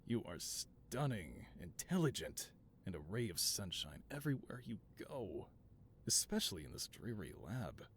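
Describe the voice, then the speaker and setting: Casting: male actor as affable mad scientist villain for audio roleplay, lowish voice, swooping delivery, grandiose yet devoted. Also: a little hiss or hum. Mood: earnest, doting. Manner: doting, theatrical, softly reassuring then grandiose. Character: affable, theatrical supervillain mad scientist boss, devoted to assistant. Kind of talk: scripted audio roleplay, one-sided conversation.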